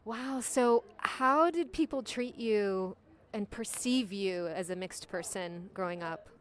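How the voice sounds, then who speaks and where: A woman's voice: medium pitch, slow. A woman hosting a podcast, asking interview questions in an encouraging way.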